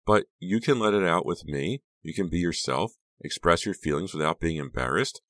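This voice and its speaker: A man's voice: low-pitched, animated, moderate pace, a bit bright, dry recording. A man voicing a smothering, overly sympathetic teenage girl in an audiobook, with a cloying, exaggeratedly soothing manner. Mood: cloying concern. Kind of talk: audiobook character dialogue.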